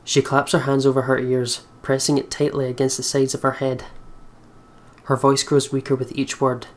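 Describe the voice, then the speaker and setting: A man's voice: medium pitch, completely flat delivery, moderate pace. A man reading visual novel narration aloud as a let's player.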